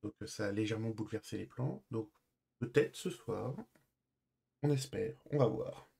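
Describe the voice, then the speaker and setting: A man's voice: lowish voice, moderate pace, creaky, mellow, husky. Casual, hesitant man. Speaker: streamer playing a character in online roleplay. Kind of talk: casual in-character roleplay chat.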